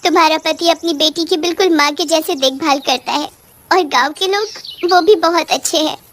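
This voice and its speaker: A woman acting as an indignant female witch from a cartoon horror comedy. The voice is high, and the manner is fussy and scolding. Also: moderate pace, a bit bright. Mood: reflective. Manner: scolding, complaining.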